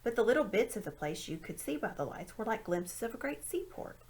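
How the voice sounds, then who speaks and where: A woman reading a children's story aloud in an expressive narrating style. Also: fairly high pitch, a little fast, slightly rough. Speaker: amateur audiobook reader.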